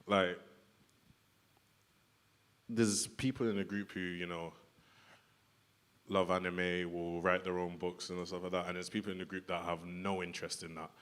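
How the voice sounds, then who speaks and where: A young man with a very low, deep voice talks on a panel at a live event. He speaks earnestly and casually, leaning on small fillers as he thinks aloud. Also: flat delivery, normal speed, long pauses, fairly bright tone. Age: young adult. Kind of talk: reflective panel talk. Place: event hall with audience.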